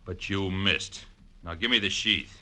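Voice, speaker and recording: An actor playing a menacing, gloating crook who bullies and threatens in slangy 1940s radio crime drama style. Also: male voice, low voice, measured pace. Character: menacing male killer and crook, sneering, bullying, gloating. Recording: noisy, clicky vintage radio recording.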